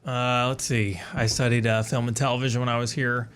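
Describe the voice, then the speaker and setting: A young man in his twenties chats as a radio guest at a fast clip. His stories ramble along, packed with fillers and quick asides. His voice sits at an ordinary middle pitch. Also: little pitch movement, fairly bright tone, slight background noise. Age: 20s. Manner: rambling, loose storytelling with fillers. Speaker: guest, alumnus. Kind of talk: casual interview chat.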